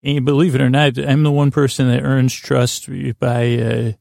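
A man hosting a podcast in a creaky voice, rambling soothingly through a halting, meandering, self-deprecating bedtime monologue. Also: medium pitch, level tone, darkish tone, dry recording, studio quality. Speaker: podcast host.

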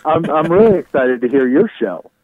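A man's voice: fairly high pitch, very animated, moderate pace, no room sound. A man chatting casually as an interview guest who works in radio, heard over a remote call. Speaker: guest, online radio host. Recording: tinny, phone-like remote line.

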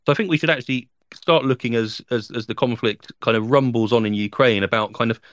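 Male correspondent, mid-pitched, halting analytical delivery, dry close sound. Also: a bit bright, no echo. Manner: thinking aloud, many fillers and restarts. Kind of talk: spoken news analysis.

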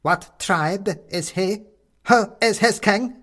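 A man voicing a small plastic goblin king figurine, grating and gleeful, chanting slowly in rhyme. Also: high voice, fairly bright tone, pitched above the performer's own voice, full character voice. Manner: slow sing-song rhyming chant.

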